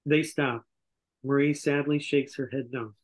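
An older man with a mid-pitched voice narrates a script at a table read on a video call. He reads the directions somewhat haltingly, correcting his words as he goes. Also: fairly even intonation. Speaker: stage-direction reader. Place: indoor room on a video call.

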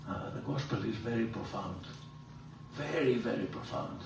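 An older man, a priest, giving a theology lecture in an animated, emphatic way, filmed from across a large room. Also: normal speed, noisy background. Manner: animated, gesturing, emphatic rises in pitch. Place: large room with hard walls. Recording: roomy hall echo, from far off.

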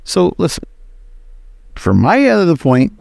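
A man's voice: on the high side, huge pitch swings, measured pace, vocal fry, a little mellow, no room sound, studio quality. A man hosting a podcast solo with casual, conversational commentary, dropping opinionated asides in short, choppy sentences. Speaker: podcast host.

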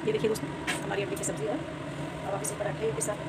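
Woman, home cook, casual chatty instructions, kitchen, sizzling pan, mid-pitched voice. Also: little pitch movement, fast. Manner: chatty, addresses viewers as friends. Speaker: home cooking channel host. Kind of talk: casual step-by-step cooking talk. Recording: loud sizzling and kitchen noise.

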